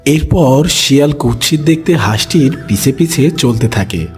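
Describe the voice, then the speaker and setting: A man's voice: mid-range voice, lively intonation, a little slow, darkish tone, faint music behind. A man narrating a children's animal fable as scripted storytelling.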